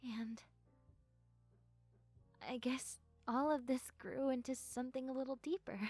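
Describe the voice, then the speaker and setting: A woman playing a shy, sweet young goth best friend in an intimate audio roleplay, soft-spoken and breathy, with hesitant, stammering speech. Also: high voice, fairly even intonation, unhurried, dry recording, soft background music. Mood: nervous, bashful.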